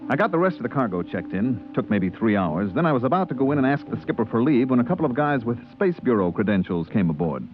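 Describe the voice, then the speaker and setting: An American actor playing an easygoing, friendly spaceship purser, narrating and talking casually in 1950s radio drama style. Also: male voice, medium pitch, sing-song, quick pace, few pauses, close to an everyday voice. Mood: plain narrating. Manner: casual, conversational, hesitant in dialogue. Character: easygoing, friendly male spaceship purser telling his story. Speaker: actor, first-person narrator and character. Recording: tinny band-limited old radio recording, music behind.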